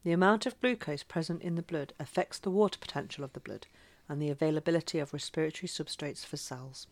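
A woman with a notably low voice co-hosts an educational podcast. She moves from reading revision notes to loose, informal chat. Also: fast talker. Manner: chatty, informal, reads notes then riffs. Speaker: podcast co-host.

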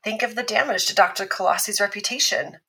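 An actress playing a calm, analytical woman expert who explains patiently and teases a little. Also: medium pitch. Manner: measured, explanatory, lightly teasing. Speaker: expert on abuse recovery. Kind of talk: scripted podcast drama dialogue.

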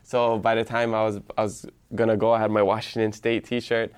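A young man speaks in a low, smooth, resonant voice. He is a Hawaiian speaker and a guest on an interview show. He tells his story in an easygoing way, laughing often and restarting his sentences. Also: flat delivery, a little fast. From Hawaii Island. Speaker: podcast host as guest.

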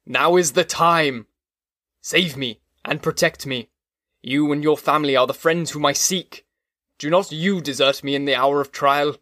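A man's voice: mid-range voice, fairly even intonation, moderate pace, fairly bright tone, no room sound, clean studio sound, lightly acted. A man voicing a lonely male creature who pleads fervently in old-fashioned speech. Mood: desperate.

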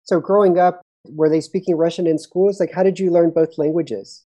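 A woman's voice: on the low side, steady tone, moderate pace, mellow. A woman hosting an interview, asking curious, encouraging questions. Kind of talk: conversational interview.

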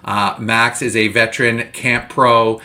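A man's voice: mid-range voice, level tone, a little slow, pushed and bright. A Canadian man co-hosting a podcast, chatting casually and reading sponsor messages with frequent fillers. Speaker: podcast co-host.

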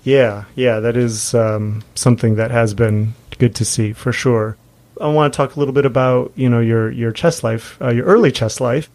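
Male podcast host for an interview show, mid-range voice, clear purpose in guiding the conversation. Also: mid-range voice, steady tone, normal speed, darkish tone. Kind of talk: interview and scripted introduction.

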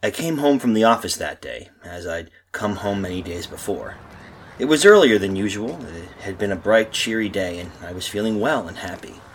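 A man playing a tormented husband in an old-style radio horror drama, theatrical and husky. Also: on the low side, animated, slight background noise, lightly acted. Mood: anguished, brooding. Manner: theatrical, brooding, halting monologue. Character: tormented lost husband, haunted, telling his doom.

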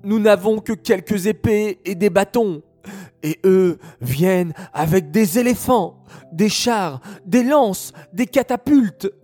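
A man's voice: high-pitched, animated, slow pace, warm tone, faint music behind, voice pushed up, exaggerated voice. A man voicing a frightened band of young fighters who stammer in panic. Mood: scared.

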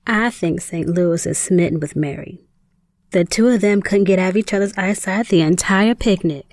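A woman's voice: on the low side, moderate pace, darkish tone, no echo, studio quality, close to an everyday voice. A woman playing a teasing, observant young sister in an audio drama. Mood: casual.

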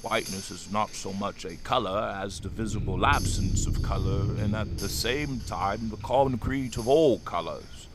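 An actor playing an obsessed old whaling captain in an audio drama, brooding and commanding, with grandiose archaic speech. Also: male voice, on the low side, normal speed, few pauses, lightly acted. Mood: tender, brooding. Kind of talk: theatrical audio drama acting. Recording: clear background noise, stormy ship ambience.

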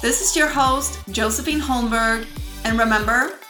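A woman's voice: on the high side, normal speed, music in the background. A young woman with a Swedish accent, a native Swedish speaker, hosting a podcast in a casual, rambling way full of hesitant fillers. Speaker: podcast host. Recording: slightly dull lo-fi recording.

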